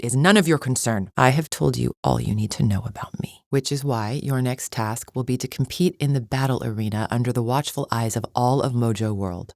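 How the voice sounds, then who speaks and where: A woman's voice: very deep voice, a little mellow, no room sound, studio quality. An actress playing a proud, disdainful alien arena champion in a video game, a cold warrior woman with stiff, formal, haughty diction. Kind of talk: scripted video game character lines.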